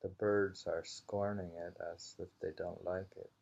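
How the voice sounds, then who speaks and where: A man reading haiku slowly and commenting on it casually, in an unhurried, musing way. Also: deep voice, little pitch movement, vocal fry, a little mellow. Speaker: reader of a haiku anthology. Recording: dull lo-fi home recording.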